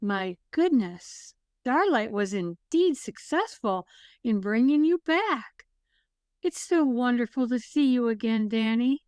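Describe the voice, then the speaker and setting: A woman's voice: a little high, expressive, takes it slowly, darkish tone, clear, resonant tone, no echo. A woman playing a gracious, solemn, motherly queen in a fantasy audio play, speaking in a stately, formal way.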